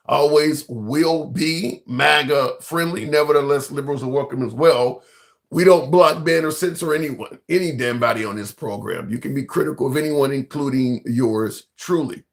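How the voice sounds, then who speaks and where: A man's voice: mid-range voice, vocal fry. Rambling livestream host, a man. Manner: talks to viewers and callers directly, rambling and emphatic, swears casually. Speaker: livestream political call-in host. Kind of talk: casual call-in talk show.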